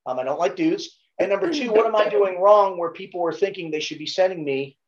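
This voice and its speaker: A man's voice: sits high, brisk, dull audio. Profane Baltimore man telling stories. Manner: profane, storytelling, laid-back. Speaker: podcast guest, former Navy SEAL. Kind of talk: casual chat.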